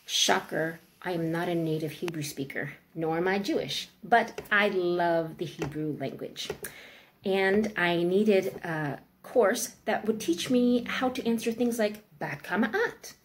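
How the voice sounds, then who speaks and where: A woman's voice: fairly low pitch, a little slow. A woman in her forties with an American accent talks casually to camera in a playful, self-deprecating way. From United States. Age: 40s. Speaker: vlogger reviewing a language course. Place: small indoor room at a table. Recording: clearly noisy home recording with clicks.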